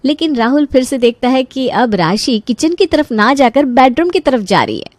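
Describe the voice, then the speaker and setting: A woman narrating a scripted animated family story as its storyteller. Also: high voice, normal speed, no echo.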